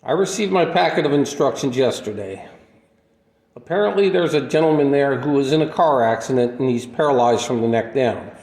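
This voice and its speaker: A man's voice: medium pitch, fairly quick, darkish tone, close to an everyday voice. A man playing a kindly, lonely wandering healer in a radio play, speaking in an earnest, folksy, reflective way. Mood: gentle, upbeat. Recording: echoey room with long reverb.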